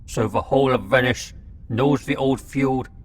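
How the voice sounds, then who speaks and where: A man's voice: fairly even intonation, moderate pace, close to an everyday voice. A man voicing a talking locust, a gossipy messenger in a radio drama. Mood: reporting gravely. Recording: clear background noise with low rumble.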